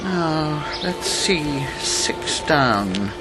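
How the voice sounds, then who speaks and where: A woman's voice: sits low, lively intonation, drawn-out words, music in the background, full character voice, poor audio. An actress playing an imperious, crotchety woman veteran in her seventies, haughty and curt in a radio drama. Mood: cool, dry. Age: 70s. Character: imperious, crotchety old female war veteran.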